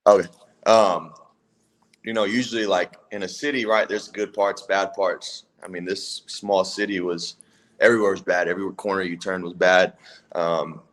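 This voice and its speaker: A young man from California, a football player talking as a guest in a casual interview, relaxed and rambling with lots of fillers. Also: deep voice, normal speed. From Palo Alto area, California. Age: 20s. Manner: relaxed, rambling, many fillers and "if that makes sense".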